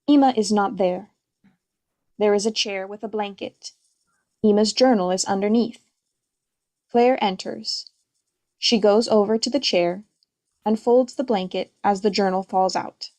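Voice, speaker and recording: A woman's voice: medium pitch, takes it slowly, long pauses, darkish tone. A woman reading stage directions as scripted, present-tense narration for a play.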